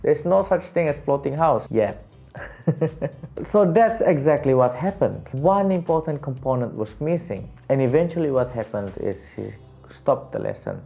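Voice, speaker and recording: A male vocal coach with a raspy voice, teaching casually and jumping to a high, excited pitch when he stresses a point. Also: moderate pace, dull audio, soft background music. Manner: animated, jumps to high excited pitch on emphasis, laughs often.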